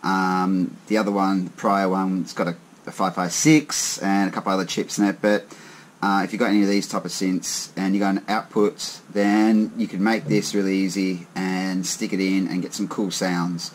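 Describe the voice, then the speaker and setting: A man's voice: sits low, level tone, normal speed. A man in a casual, laid-back hands-on demo, speaking informally and a bit hesitantly as a hobbyist maker. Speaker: hobbyist maker demonstrating homemade synths. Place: indoors at a wooden tabletop.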